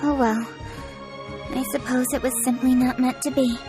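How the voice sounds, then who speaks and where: Woman as a high-voiced, formal, earnest young official. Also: flat delivery, normal speed, close to an everyday voice. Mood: awkward, brisk. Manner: formal, stiff, precise, slightly haughty. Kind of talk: video game voice acting. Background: faint game music.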